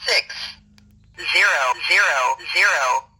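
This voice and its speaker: A woman's automated prompt comes piercingly bright through a small radio speaker. She announces in slow, clipped words, in a slightly low register for a woman. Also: animated, poor audio. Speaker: walkie-talkie voice prompt.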